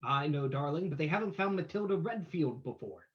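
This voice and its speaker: A man's voice: on the high side, expressive, fairly quick, natural voice. A man playing a breezy, wisecracking amateur sleuth in an old-time radio reading, with dull lo-fi audio. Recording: dull lo-fi live stream audio.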